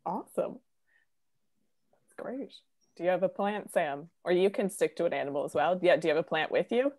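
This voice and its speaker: A woman hosts a relaxed video chat. She sounds friendly and upbeat, and her speech is loose and full of fillers. Her voice sits a little low. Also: a little fast. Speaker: host. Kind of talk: casual online chat.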